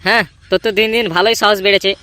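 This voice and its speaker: A man playing a suspicious cartoon boy. He speaks quickly in a bright, forceful voice, pushy and accusing. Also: high voice, level tone, a little hiss or hum. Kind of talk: amateur comedy cartoon dub.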